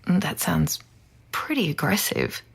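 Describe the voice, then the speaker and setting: Composed podcast host, slightly low register, measured, sceptical interviewing style for audio drama. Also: female voice, wildly swooping pitch, creaky, husky, heavily acted. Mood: sceptical, probing. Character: probing, composed female true-crime podcast host.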